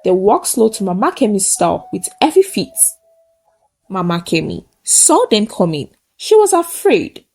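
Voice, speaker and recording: A woman's voice: medium pitch, big pitch swings, unhurried, darkish tone. A woman narrates a folktale in short, simple sentences, solemn and emphatic. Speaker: storyteller. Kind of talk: dramatic folktale narration with a closing moral.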